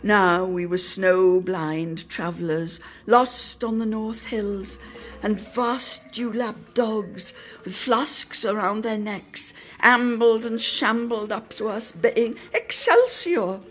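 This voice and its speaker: A woman giving an expressive, theatrical dramatic reading of a literary story for radio. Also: medium pitch, takes it slowly, soft background music. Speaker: dramatic reader.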